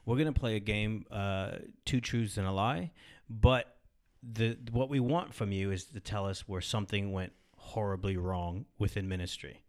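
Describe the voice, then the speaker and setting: A man who is a youth pastor co-hosting a podcast, lively and reacting with quick remarks. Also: on the low side. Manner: lively, reacts with short exclamations.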